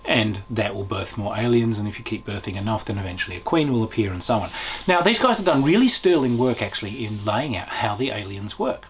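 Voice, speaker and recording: A man giving a casual, unscripted game review as a video host, talking in an enthusiastic, emphatic, conversational way with a slightly husky voice. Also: fairly low pitch, expressive, fairly quick, slight background noise.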